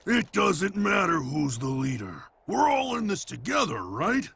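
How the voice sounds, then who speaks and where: A man's voice: high voice, sing-song, a little slow, creaky, background noise, exaggerated voice. A man voicing a hearty, easygoing warrior in a video game cutscene, with a casual, boisterous, upbeat delivery. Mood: rallying.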